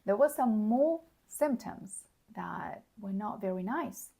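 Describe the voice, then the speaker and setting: A hoarse woman giving a slow, clear English lesson, explaining words plainly and checking in with the listener. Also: mid-range voice, animated, dark tone. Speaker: English teacher. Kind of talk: slow, clear teaching monologue.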